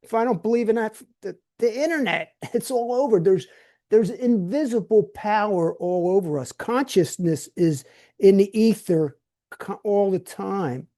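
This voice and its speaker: A man's voice: high voice, a little slow, warm tone. An older man hosting a podcast, talking bluntly in a loose solo monologue and often restarting his words. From New Jersey. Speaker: podcast host. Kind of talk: casual monologue.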